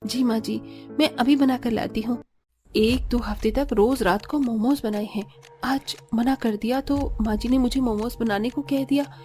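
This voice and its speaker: A woman's voice: fairly high pitch, steady tone, moderate pace, dark tone, faint music behind, natural voice. A woman playing a patient but exasperated young daughter-in-law in a Hindi cartoon, earnest and chiding. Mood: weary, scheming. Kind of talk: Hindi cartoon story acting.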